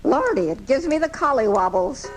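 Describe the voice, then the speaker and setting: An actress playing a feisty, fretful frail old American spinster who scolds in folksy, indignant style in a live radio drama. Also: female voice, high-pitched, big pitch swings, moderate pace, faint music behind. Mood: indignant, scolding. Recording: noisy amateur off-air radio recording from 1984.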